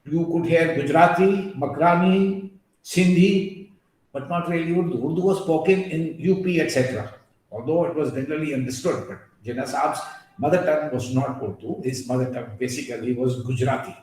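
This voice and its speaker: A man giving an earnest, emphatic webinar talk, drifting into asides and filling pauses with "uh". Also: fairly high pitch, darkish tone. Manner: emphatic, digressive, with frequent fillers. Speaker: guest speaker on a webinar. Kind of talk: earnest lecture with personal asides. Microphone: video call. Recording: very echoey room over a call.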